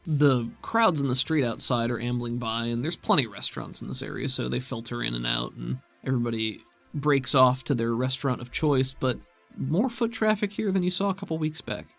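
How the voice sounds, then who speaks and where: Male game master, measured and descriptive, middle-register voice, narrating tabletop roleplay with soft music underneath. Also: mid-range voice, creaky.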